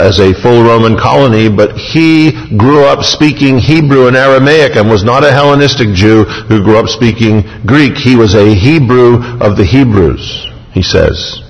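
A man preaching a sermon, emphatic, retelling scripture in a vivid first person. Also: medium pitch. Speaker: pastor. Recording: long hall reverb.

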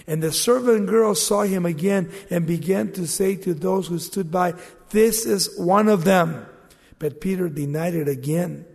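A man's voice: high-pitched, a little slow, gravelly, darkish tone, breathy edge. A male pastor preaching an evening sermon in a church, asking the congregation questions and building emphasis. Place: church sanctuary. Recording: long hall reverb.

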